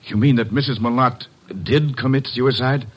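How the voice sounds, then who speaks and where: An actor playing a sombre, shaken husband under suspicion, in vintage American radio drama style. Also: male voice, medium pitch, fairly even intonation, normal speed, close to an everyday voice. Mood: shaken, disbelieving. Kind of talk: 1940s-50s American radio detective acting.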